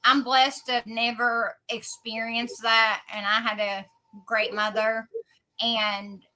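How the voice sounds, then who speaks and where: A woman's voice: a little high, flat delivery, slow, sharp tone, slight background noise, poor audio. A woman talking casually as a co-host on a true-crime podcast.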